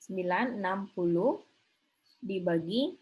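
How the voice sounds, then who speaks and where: A woman lecturer with a somewhat husky voice at a middling pitch. She teaches in a conversational way, thinking aloud as she works through steps. She keeps tossing short questions to her students to confirm they follow. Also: animated, slow pace, darkish tone, low-quality recording. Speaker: accounting lecturer. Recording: slightly rough online class recording.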